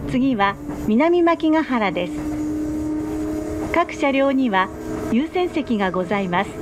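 A woman giving polite, formal, scripted announcements on board a train in a measured way. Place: inside a moving train carriage. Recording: dull train PA amid loud carriage noise. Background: train rumble.

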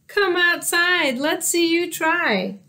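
Storybook schoolboy dog, read by a woman: blunt, dismissive, high-pitched, slow and pointed. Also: expressive, bright, forceful tone, full character voice. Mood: scornful. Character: scoffing young male dog, blunt schoolmate.

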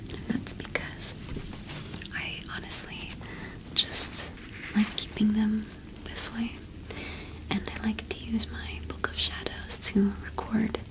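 A young woman whispering in a breathy, gentle, unhurried way, as in an ASMR roleplay. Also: fairly high pitch, low-quality recording. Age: young adult. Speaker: ASMR host playing a shopkeeper.

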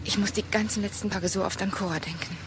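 Radio drama: nervous young female fugitive played by a woman, reproachful, fast delivery, faint background noise. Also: mid-range voice, steady tone, natural voice. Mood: anxious. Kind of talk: German radio drama acting.

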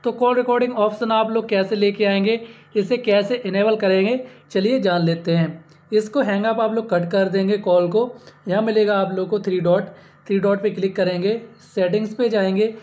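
A man's voice: high-pitched, steady tone, moderate pace, darkish tone. A man presenting a step-by-step phone app tutorial, repeating himself and addressing viewers as friends. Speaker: host of a tech tutorial channel.

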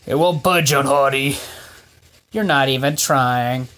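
A woman's voice: rumbling low, takes it slowly, a bit bright, voice pushed down, exaggerated voice. A woman voicing a grumpy, sarcastic cartoon character in an amateur fan dub, dry and curt. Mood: annoyed. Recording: noisy amateur screen-recorded dub.

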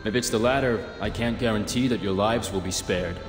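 Guy doing stoic young underworld boss for a video game, kinda deep n formal, echoey w music. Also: brisk, close to an everyday voice. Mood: grave, resolute. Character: solemn young male underworld clan leader, dutiful, grave. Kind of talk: dramatic game cutscene acting. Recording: reverberant game audio with music behind.

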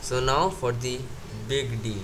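A man's voice: mid-range voice, fairly even intonation, slow, noisy background. A man with an Indian accent casually walking through a smartphone's features, thinking aloud and correcting himself as he goes. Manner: unscripted, thinking aloud with fillers and self-corrections. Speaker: tech video host. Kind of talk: casual screen-recorded tech walkthrough. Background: background music.

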